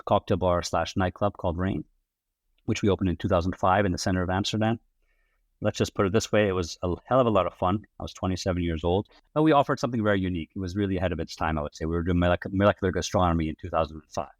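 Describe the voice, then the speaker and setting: A man in his forties from the Netherlands, his Dutch accent tinged with American English. His voice sits very low and sounds dry and close. He talks in a relaxed, rambling way, with plenty of "uh" and restarts. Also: fast, dry recording. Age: 40s. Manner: relaxed and chatty, many fillers and restarts. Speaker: podcast guest, hotel brand executive. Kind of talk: casual interview storytelling.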